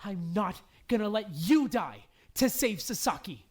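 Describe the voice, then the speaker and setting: A woman voicing a distraught, defensive teenage boy in an animated drama, stammering and emotionally raw. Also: medium pitch, unhurried. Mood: agitated. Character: distraught, defensive teenage boy, guilt-ridden and foul-mouthed. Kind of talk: dramatic voice acting in a fan-made animation.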